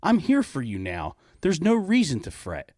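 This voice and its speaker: A man's voice: on the high side, wildly swooping pitch, normal speed, a little mellow, no room sound, clean studio sound, pitched above the performer's own voice. A man voicing a kindly male engineer in a comic storybook, soothing and gallant. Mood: reassuring.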